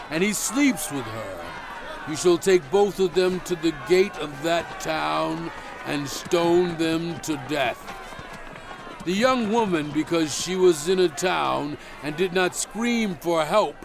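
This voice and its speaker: A man playing a solemn, stern prophet proclaiming ancient law in a grave, deliberate, emphatic dramatized scripture reading. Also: a little high, gravelly, exaggerated voice.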